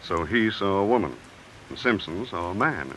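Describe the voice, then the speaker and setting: An actor playing a shrewd, easygoing police lieutenant in a 1950s radio drama, relaxed and drawling. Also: male voice, low-pitched, normal speed, slight background noise.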